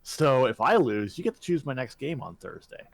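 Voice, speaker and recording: A man's voice: mid-range voice, sing-song, moderate pace, gravelly. A man co-hosting a podcast in casual, bantering conversation with a friend. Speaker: podcast co-host and streamer.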